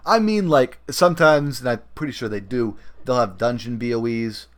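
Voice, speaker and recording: A man's voice: medium pitch, moderate pace. A man hosting a gaming podcast with casual, conversational talk. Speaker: podcast host.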